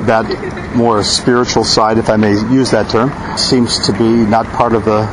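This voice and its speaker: A man's voice: lowish voice, level tone, unhurried. A man interviewing passersby outdoors with a handheld recorder, asking thoughtful, probing questions and sometimes musing at length himself. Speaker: street interviewer. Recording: noisy outdoor street recording.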